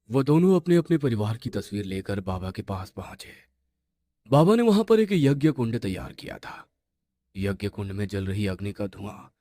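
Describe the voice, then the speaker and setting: A man's voice: lowish voice, mellow. A man narrating a scripted horror story as the storyteller.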